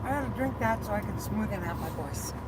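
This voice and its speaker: A live streamer with a rough, gravelly voice, chatting casually and rambling while reading viewers' comments aloud and repeating words. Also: mid-range voice, lively intonation, fairly quick, very noisy.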